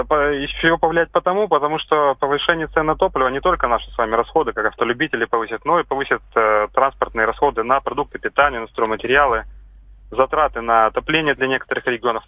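A male caller speaks over a tinny telephone line. He talks quickly and politely, making a formal and earnest case. His voice is dry and close to the mic. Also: medium pitch, dry recording. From Sevastopol region. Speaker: radio caller.

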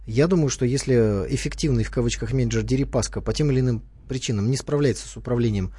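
Fast, husky, ironic radio commentator. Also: male voice, mid-range voice, dark tone. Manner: ironic, argumentative, formal address. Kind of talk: studio talk radio discussion. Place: radio studio.

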